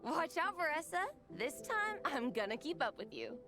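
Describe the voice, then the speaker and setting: High, swooping, earnest girl trainee. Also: very animated, normal speed, heavily acted. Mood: determined. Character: determined, slightly insecure young girl in training. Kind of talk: video game character acting. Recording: game music under the voice.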